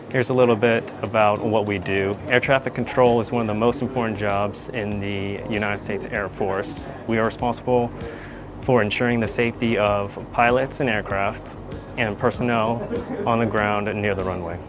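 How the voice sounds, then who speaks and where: A man narrating an explanatory tour as an air traffic controller, in a measured, semi-scripted way. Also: lowish voice, steady tone, over music.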